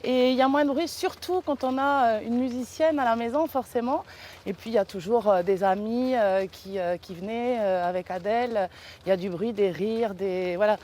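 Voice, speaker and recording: A woman's voice: on the high side, moderate pace, darkish tone, slight background noise. A woman, a mother from Saint-Pierre-et-Miquelon, speaking reflectively and hesitantly in an interview.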